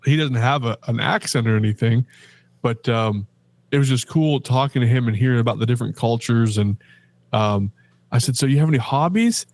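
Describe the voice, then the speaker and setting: A man's voice: medium pitch, a little fast, a little mellow. Middle-aged guy on a podcast, just rambling about his day, lots of ums, normal mid voice. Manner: rambling, chatty storytelling with many fillers. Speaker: podcast co-host. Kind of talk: casual chat.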